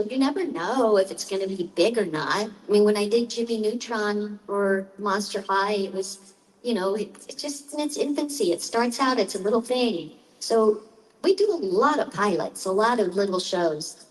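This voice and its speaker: Chatty woman voice actor on a panel. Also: medium pitch. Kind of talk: casual panel talk. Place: convention panel room. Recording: distant mic in a panel room.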